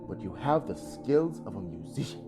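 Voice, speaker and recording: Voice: medium pitch, big pitch swings, normal speed, over music. A performer playing a courteous, admiring male composer in a fan audio drama, gallant and agreeable.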